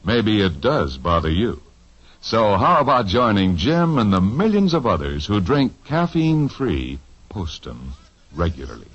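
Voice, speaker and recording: Deep husky ad voice, folksy and persuasive, swings up and down a ton, old radio. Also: male voice, huge pitch swings, measured pace. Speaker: commercial announcer. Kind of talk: scripted radio commercial. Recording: lo-fi 1950s radio transcription.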